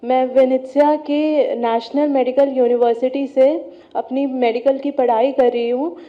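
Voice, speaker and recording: A woman's voice: high-pitched, steady tone, unhurried. A young woman from northern India, a student giving a formal self-introduction. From Kanpur, northern India. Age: young adult. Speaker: medical student. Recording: dull lo-fi event audio.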